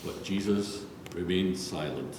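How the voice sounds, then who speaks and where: Slow, low-voiced man in an echoey church. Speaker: narrator. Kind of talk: solemn scripture reading. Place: large reverberant church. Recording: very echoey church, faint noise.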